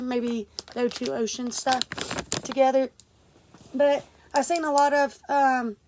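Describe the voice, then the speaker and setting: A young woman with a high, stuffy, congested voice chats through an unboxing for her channel. She rambles, trails off and restarts, dropping plenty of ums along the way. A faint background hiss sits under the recording. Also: rough recording. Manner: rambling, many fillers and restarts. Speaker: vlogger. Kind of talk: casual unboxing vlog.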